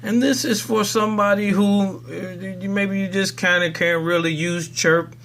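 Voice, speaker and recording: A man with a voice pitched a little higher than average talks through a how-to in a casual, unscripted way. His delivery is folksy and rambling, as if he is thinking aloud while he explains each step. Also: steady tone, moderate pace, fairly bright tone. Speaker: presenter of a software tutorial.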